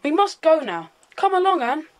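High-voiced, sensible British boy. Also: very animated, normal speed. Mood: polite, firm. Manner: polite, self-assured. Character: polite, sensible boy, leader of young friends. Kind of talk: children's audio drama acting.

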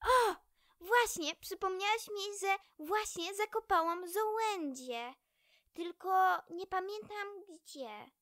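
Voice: very high voice, takes it slowly, fairly bright tone, dry recording, clean studio sound, exaggerated voice. A young performer voicing a small, wary, proud female red squirrel, pert and childlike, in a children's story. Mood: chatty.

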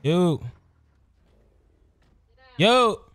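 A man's voice: sits high, expressive, very slow, fairly bright tone, clear, resonant tone, dry recording. A man chatting casually in slang during a street roleplay game. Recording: noisy game voice chat.

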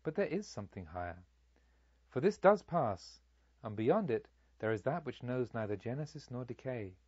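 A man's voice: medium pitch, big pitch swings, normal speed, darkish tone, husky, no echo. Man as serene woman of paradise, earnest, confident, audiobook dialogue, dry, slightly lo-fi. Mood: serene, assured.

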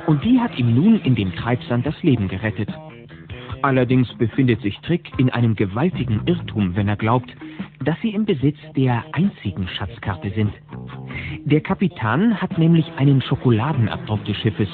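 A man's voice: medium pitch, very animated, normal speed, faint music behind, natural voice. A man narrating a children's cartoon audio play as a wry storyteller, on lo-fi cassette audio. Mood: wry, suspenseful.

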